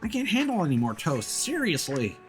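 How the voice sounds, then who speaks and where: A man's voice: a little high, wildly swooping pitch, brisk, faint music behind, pitched above the performer's own voice. A man voicing a dramatic, hungry schoolkid in a video game, with exaggerated delivery. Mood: exasperated.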